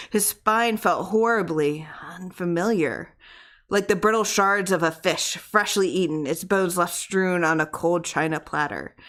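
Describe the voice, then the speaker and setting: A woman reading a literary horror story aloud in expressive audiobook narration. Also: on the low side. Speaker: audiobook reader.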